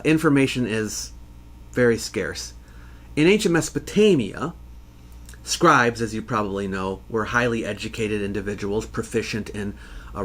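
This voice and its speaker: A man narrates educational history material as its host. His delivery is measured and formal, with precise enunciation. His pitch sits in the middle of the usual male range. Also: fairly bright tone. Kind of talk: scripted educational narration answering listener questions.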